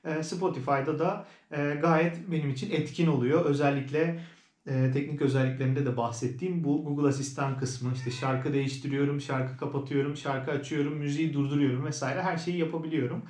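Higher-voiced man, casual reviewer, roomy echo. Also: steady tone, a little fast, darkish tone. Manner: conversational, explanatory. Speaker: vlogger reviewing gear. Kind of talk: casual product review to camera.

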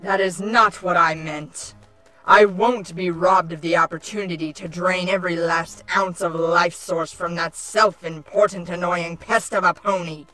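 A woman voicing a haughty changeling queen villainess with a scolding, deliberate manner, in a cartoon fan-fiction audio drama. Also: lowish voice, fairly even intonation, pushed and bright, rough recording. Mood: contemptuous. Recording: music under the voice.

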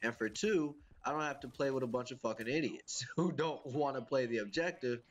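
Stuffy-nosed young guy doing game commentary, swears a lot, grumbling about his team, mid pitch. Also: fairly quick. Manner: casual, profane, exasperated ranting. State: congested, sniffly from a cold. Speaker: gaming YouTuber. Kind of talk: gameplay commentary.

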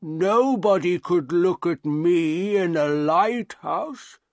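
A man voicing a gentle, sad, humble deformed man in an audiobook, with very slow, halting, laboured speech. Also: sits high, no echo, clean studio sound, voice pushed up, full character voice. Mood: wistful. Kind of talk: audiobook character dialogue.

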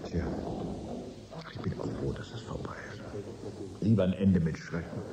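An actor playing a weary, cynical corporate negotiator in a German radio drama, dry and dignified. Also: male voice, deep voice, animated, moderate pace, heavy background noise. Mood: resigned, cynical. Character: weary, cynical male corporate negotiator, solemn when official.

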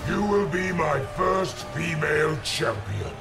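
A brutish warlord emperor from a fighting game. The voice is extremely gravelly, booming out commands with domineering force. Loud music runs underneath. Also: male voice, very animated, takes it slowly, full character voice. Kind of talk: fighting game character dialogue.

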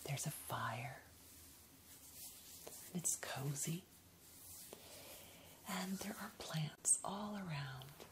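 A woman whispering breathily and gently, guiding a soothing, unhurried ASMR meditation. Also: sits low. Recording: clear background noise, ambient hiss.

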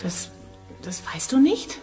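A woman's voice: slow, dark tone, soft background music, lightly acted. An actress playing a confused, wary young woman held captive in a radio play, guarded and insistent. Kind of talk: German radio play acting.

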